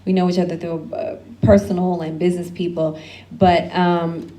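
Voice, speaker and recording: A woman's voice: lowish voice, flat delivery, normal speed, warm tone. A woman speaking on an alumni panel, giving candid advice with loose asides and frequent laughs.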